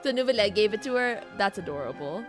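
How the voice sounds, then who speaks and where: A woman's voice: mid-range voice, expressive, fast. A woman streamer giving casual, gushing, cooing reactions to a game. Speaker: live streamer. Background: game music.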